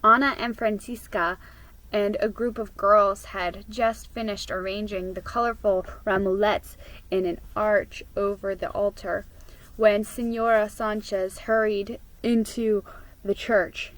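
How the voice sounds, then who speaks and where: Slow-paced woman reading a story. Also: mid-range voice, level tone, a bit bright, rough recording. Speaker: storybook reader. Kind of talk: scripted dramatic story narration.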